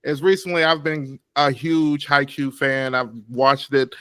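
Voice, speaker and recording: Dry-sounding, eager young podcast host. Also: male voice, mid-range voice, fairly even intonation, a little slow, fairly bright tone, clear, resonant tone, no echo. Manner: enthusiastic, informal, with many fillers. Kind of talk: casual podcast interview.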